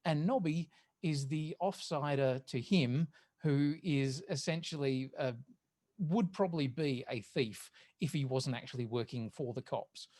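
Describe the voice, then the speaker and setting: A woman's voice: extremely low pitch, unhurried, a little mellow. A woman talking casually and swearing freely as a podcast panelist.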